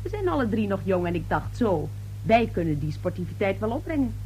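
An actress playing a brash, slippery young newspaper reporter in a radio play, glib, insistent and patronizing. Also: female voice, medium pitch, very animated, brisk, warm tone, close to an everyday voice. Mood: brash, breezy. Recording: noisy old radio drama recording.

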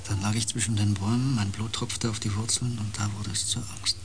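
A man playing a haunted, intense empath and space explorer in a radio drama, brooding and halting in fragmented phrases. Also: fairly low pitch, flat delivery, a little hiss or hum, close to an everyday voice. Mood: haunted, hushed.

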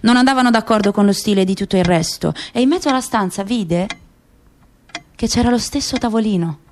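A woman's voice: medium pitch, brisk, darkish tone. A woman gives a dramatic literary reading as narrator in a radio play. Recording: clear background noise with clicks.

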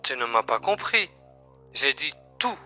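A man's voice: mid-range voice, very animated, a little slow, pushed and bright, full character voice. Matter-of-fact male sci-fi colleague, played by an actor, dry and composed, faint music behind. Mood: dryly teasing. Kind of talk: audio drama acting.

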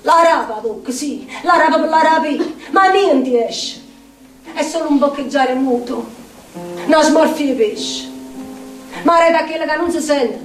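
An actress playing a tormented street outcast in a theatrical monologue, speaking incantatory, repetitive lines with a Neapolitan accent. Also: female voice, high-pitched, unhurried. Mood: anguished. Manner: incantatory, dramatic, repetitive phrasing. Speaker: stage actress. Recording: echoey distant stage sound with music. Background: plucked guitar music.

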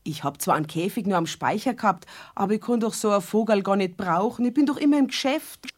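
A woman's voice: medium pitch, animated, fast, lightly acted. An actress playing a persistent, nosy, good-natured neighbour woman with a Bavarian accent in a children's radio play. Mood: chatty, excited. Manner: chatty, insistent.

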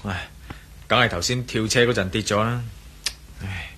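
A man's voice: on the low side, moderate pace, sharp tone, a little hiss or hum. A man playing an insistent, impatient investigator in a radio drama, pressing with questions in Hong Kong Cantonese. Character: insistent, impatient male investigator, master of the house.

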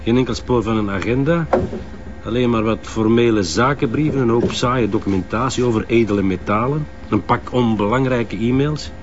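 A Flemish actor playing a dry, methodical police inspector in a crime drama, with a measured, questioning manner. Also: male voice, medium pitch, lightly acted. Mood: resigned. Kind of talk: naturalistic crime drama acting. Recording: muffled sound with clear background noise.

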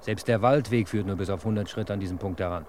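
A man's voice: lowish voice, fast, darkish tone, slight background noise, lightly acted. A man playing an eager, talkative young police assistant in a 1950s radio play.

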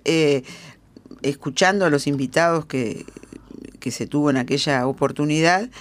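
Lowish-voiced woman interviewer. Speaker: radio interviewer. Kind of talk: conversational radio interview. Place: radio studio.

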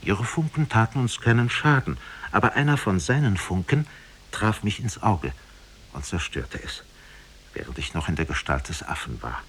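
A man's voice: on the low side, lively intonation, normal speed, a bit bright, a little hiss or hum. A man narrating a fairy tale in a solemn, dramatic 1950s radio storytelling style.

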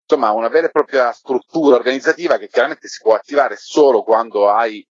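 A man's voice: medium pitch, quick pace, husky. A man giving discursive, explanatory answers in a conversational interview. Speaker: podcast curator being interviewed. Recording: roomy, dull lo-fi line.

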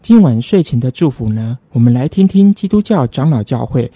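A man's voice: mid-range voice, expressive, brisk, breathy edge. A man with a Taiwanese Mandarin accent hosting a radio interview, polite and appreciative. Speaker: radio host. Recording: soft music under the voice. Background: background music.

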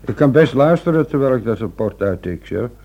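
An actor playing a cheeky, willing police assistant with a familiar, lightly teasing manner in a radio drama. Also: male voice, mid-range voice, expressive, brisk, warm tone, dull audio. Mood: obliging. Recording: noisy old radio play recording.

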